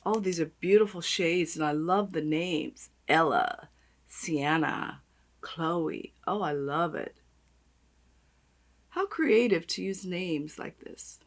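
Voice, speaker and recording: A woman with a raspy voice, chatting and gushing as she does her makeup on camera. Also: fairly low pitch, takes it slowly. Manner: gushing, chatty, talks while applying makeup. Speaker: beauty vlogger. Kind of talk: makeup tutorial chat.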